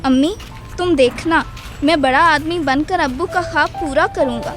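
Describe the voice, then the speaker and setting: Devoted little son for radio drama, voiced by a woman: high, pleading, sincere, over music. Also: normal speed, lightly acted. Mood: determined, consoling. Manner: earnest, pleading. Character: earnest, devoted ten-year-old son. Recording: music behind the voice.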